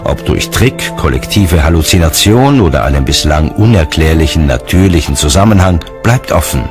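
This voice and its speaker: Male narrator, very low voice, lively intonation, scripted documentary delivery, music behind. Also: normal speed.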